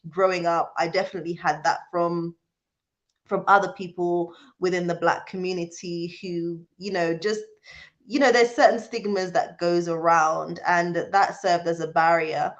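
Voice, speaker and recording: A woman's voice: lowish voice, fairly even intonation, normal speed. A British woman hosting a casual livestream, talking to viewers in an earnest, emphatic way. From born in Jamaica, raised in the UK. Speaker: livestream host. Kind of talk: casual live chat with viewers, reading out comments.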